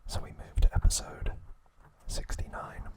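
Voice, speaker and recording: A man hosting ASMR, narrating a recap in a breathy, hushed whisper with short, halting phrases. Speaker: ASMR host.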